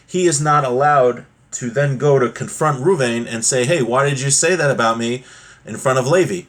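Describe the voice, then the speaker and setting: A man's voice: medium pitch, fairly bright tone. Conversational man teaching religious law. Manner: conversational, acts out examples, checks in with "right?" and "okay?". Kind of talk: informal explanatory religious lesson, reading a text and paraphrasing it.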